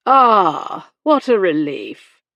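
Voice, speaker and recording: Woman voicing a coolly sardonic, deliberate English-novel gentleman. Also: on the low side, lively intonation, dry recording, lo-fi sound. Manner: sarcastic, deliberate. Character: sardonic, commanding gentleman, injured, coolly provoking. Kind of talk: audiobook character dialogue, period romance.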